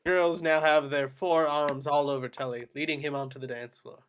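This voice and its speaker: A man's voice: a little high, steady tone, normal speed, fairly bright tone, slight background noise. A man reading screenplay action descriptions aloud as narrator in a table read.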